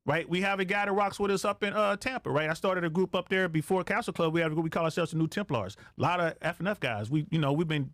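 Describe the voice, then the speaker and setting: A man's voice: on the high side, quick pace, hardly any pauses, fairly bright tone, no room sound, studio quality. A middle-aged man chatting casually as a podcast guest, slangy and emphatic, repeating phrases to drive points home. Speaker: guest, retired air traffic controller.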